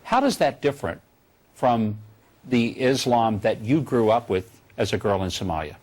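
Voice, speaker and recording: A man's voice: mid-range voice, expressive, a little slow, a little mellow. A man hosting a TV news interview, introducing his guest and asking pointed questions in a polished broadcast manner. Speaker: TV host.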